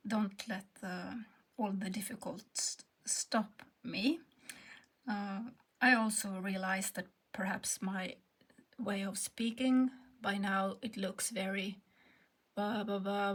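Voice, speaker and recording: Swedish woman, textile artist narrating her stitching, slow and halting, half-whispering at times. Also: medium pitch. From Sweden. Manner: hesitant, searching for words, soft and half-whispered. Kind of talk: casual craft tutorial narration.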